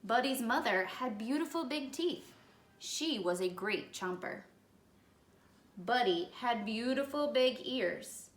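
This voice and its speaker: A woman's voice: fairly high pitch, expressive, slow pace, slight background noise. A woman reading a children's story aloud expressively, as a storyteller to young listeners. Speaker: picture-book reader, educator. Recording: echoey room.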